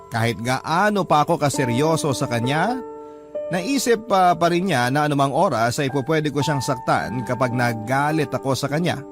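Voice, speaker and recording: Actor as a sincere Filipino boyfriend narrating, mid-pitched. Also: male voice, close to an everyday voice. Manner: sincere, measured storytelling. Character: sincere, patient boyfriend narrating his love letter. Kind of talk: scripted radio drama letter narration.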